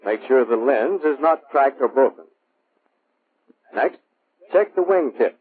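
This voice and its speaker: A tinny, narrow-band vintage military film soundtrack carries an American man's narration. His voice is rough-edged and sits at a middle pitch. He delivers scripted instructions in a clipped, measured way, like a formal training film narrator. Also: animated.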